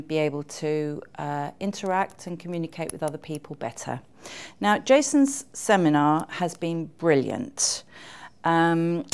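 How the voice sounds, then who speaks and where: A woman's voice: low-pitched, darkish tone. A middle-aged woman who is an author and seminar attendee, giving an informal spoken testimonial.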